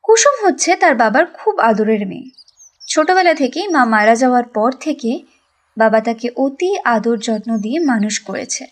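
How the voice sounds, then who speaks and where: A woman narrating a cartoon story in a lively, sing-song way. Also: a little high, moderate pace.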